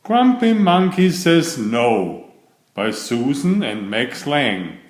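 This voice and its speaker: Slow, expressive man reading stories in a roomy space. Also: medium pitch. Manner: expressive, measured storytelling delivery. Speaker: storyteller and children's author. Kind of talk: read-aloud children's picture book narration.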